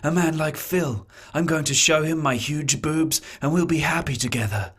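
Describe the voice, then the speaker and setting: A man voicing a melodramatic, discontented farmer's wife in her forties in a parody radio soap, with a husky voice. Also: a little high, normal speed, background noise, close to an everyday voice. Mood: lovestruck.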